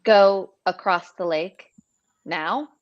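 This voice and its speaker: A woman's voice: on the low side, takes it slowly, lo-fi sound. A woman playing a skeptical, scoffing young female camper in a live horror script read. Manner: incredulous, scoffing.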